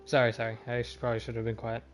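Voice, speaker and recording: A man livestreaming a game with casual, halting commentary as he thinks aloud. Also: medium pitch, monotone, soft background music.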